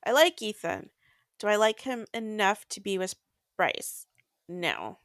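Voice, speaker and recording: A woman's voice: mid-range voice, animated, a little slow, a bit bright, no room sound, clean studio sound. A woman co-hosting a book podcast, chatting casually and stating her opinions emphatically. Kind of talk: casual chat.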